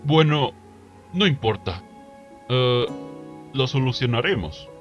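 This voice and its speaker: A man's voice: medium pitch, lively intonation, slow. A man playing an evasive, stubborn but responsible monster in a Spanish fan dub. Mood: hesitant, responsible. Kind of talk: Spanish fandub voice acting. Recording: dull lo-fi audio, music behind.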